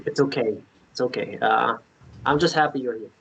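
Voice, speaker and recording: A man playing a gentle, devoted romantic in a naturalistic table read, earnest and halting. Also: mid-range voice, gravelly, a little hiss or hum. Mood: shy, wistful. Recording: slightly dull lo-fi recording.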